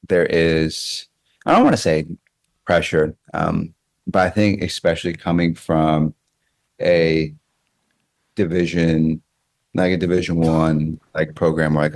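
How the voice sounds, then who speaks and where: A man's voice: very deep voice, no echo. An American man in his thirties talking casually and reflectively as an interview guest, often repeating phrases as he thinks aloud. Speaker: baseball coach interviewed as podcast guest.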